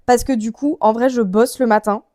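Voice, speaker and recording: A young woman talks to her vlog viewers in a fairly high voice. She rambles casually and uses plenty of slang, and the sound is dry with little room echo. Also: steady tone, normal speed, dark tone. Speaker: vlogger.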